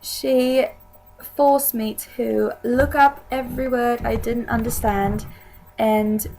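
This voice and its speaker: Young woman vlogging, kinda high voice, lots of vocal fry, rambles and restarts a lot. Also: steady tone, slow pace, darkish tone, low-quality recording. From Sweden. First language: Swedish. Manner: hesitant and rambling, many restarts. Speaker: YouTuber. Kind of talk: casual chat to camera.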